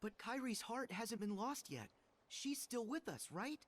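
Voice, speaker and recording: Game casting: determined teen boy hero, slow pacing with very expressive pitch swings. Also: exaggerated voice. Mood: uneasy. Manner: earnest, determined. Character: earnest, stubbornly hopeful teenage boy hero. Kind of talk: video game cutscene voice acting.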